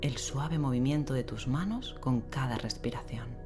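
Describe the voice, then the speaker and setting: Female meditation guide, very deep register, gentle and unhurried, soothing bedtime delivery over prominent relaxing background sound. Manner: gentle, unhurried, with long pauses. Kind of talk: guided sleep meditation, slow soothing instruction. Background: relaxing rain sound and soft music.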